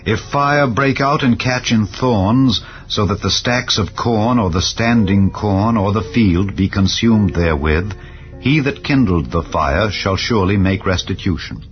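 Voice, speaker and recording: A man narrating scripture in a solemn, measured, declarative manner, as in a dramatized Bible reading. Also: on the low side, lo-fi sound. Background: clear background music.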